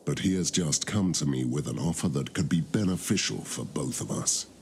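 An actor playing a grave, lordly medieval knight in a fantasy game, speaking in a measured, solemn way. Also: male voice, extremely low pitch, darkish tone, slight background noise. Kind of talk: scripted game voice acting.

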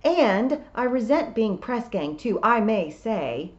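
A woman's voice: deep voice, lively intonation, normal speed, a little mellow, echoey room. A woman voicing a grumpy, resentful male talking toad with a dry, formal, grudging manner, on a slightly dull recording. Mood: grumpy, unhelpful.

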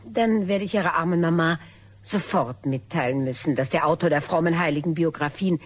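Woman acting sly polite blackmailer lady in a crime radio play, lowish husky voice, fake sorry. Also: a little fast. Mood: veiled menace. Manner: falsely apologetic, silky politeness with veiled threats. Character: polite, calculating female blackmailer, mockingly courteous.

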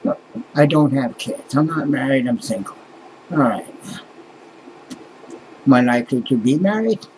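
A man's voice: medium pitch, expressive, normal speed, pauses often, darkish tone, slight background noise, low-quality recording. A man in his fifties from Canada, rambling and sighing to his webcam in a small room at night, swearing often and trailing off. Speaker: vlogger. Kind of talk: casual rambling vlog. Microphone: webcam close to face.

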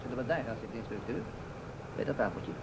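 An actor playing a pessimistic police inspector in a radio drama, grumbling in clipped phrases. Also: male voice, mid-range voice, expressive, fast talker, natural voice. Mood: matter-of-fact. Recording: muffled, noisy old radio recording.

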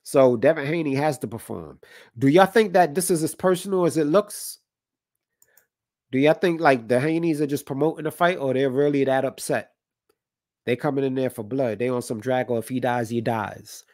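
A man's voice: medium pitch, normal speed, darkish tone, no echo, clean studio sound. A man in his thirties hosting a livestream, chatting with opinions and reading chat comments, a little stuffy from a cold. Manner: conversational, opinionated, reads chat comments aloud. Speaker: boxing livestream host. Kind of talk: casual talk with live chat. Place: home room with colored lights.

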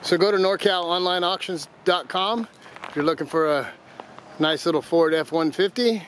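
A man's voice: high-pitched, moderate pace, a bit bright. An older man giving a plainspoken, easygoing walkaround of a vehicle for sale as an auctioneer, filmed outdoors and in the cab on a handheld phone. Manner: easygoing, plainspoken, with fillers and restarts. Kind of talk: casual walkaround product talk. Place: outdoors in a gravel lot and inside a pickup cab. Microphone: handheld phone camera. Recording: noisy outdoor phone recording.

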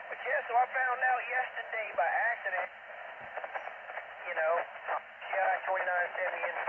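Through very heavy radio noise comes a middle-aged man. His voice sits on the high side, and he chats casually as an amateur radio operator over a two-way set. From United States. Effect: two-way radio transmission. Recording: very noisy, static-laden ham radio audio.

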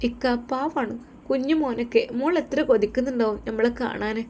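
A woman putting on a devoted young wife from an audio story, her voice lifted higher and sweet, earnest and pleading. a faint hiss sits under the recording. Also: fairly quick, darkish tone, close to an everyday voice.

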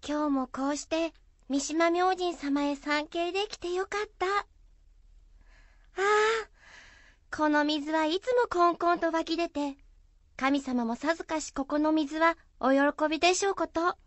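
Woman acting a very high-voiced shy young maiden. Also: unhurried, no room sound. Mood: devout, grateful. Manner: deferential, formal old-fashioned speech. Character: polite, shy young samurai's daughter, devout maiden. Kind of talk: Japanese period radio drama acting.

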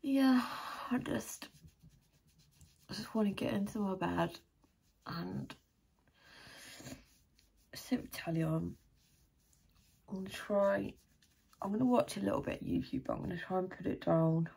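A British woman in her thirties with a deep, croaky, tired voice, chatting casually and thinking aloud to camera as she vlogs at home. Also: measured pace, long pauses, warm tone. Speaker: vlogger. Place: small room at home, indoors.

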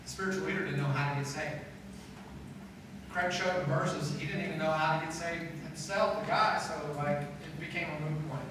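A man's voice: fairly high pitch, normal speed, background noise. A middle-aged man preaching a chapel sermon to students on a school auditorium stage, talking conversationally and repeating phrases for emphasis. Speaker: pastor. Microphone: far camera in hall. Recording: echoey hall from far off.

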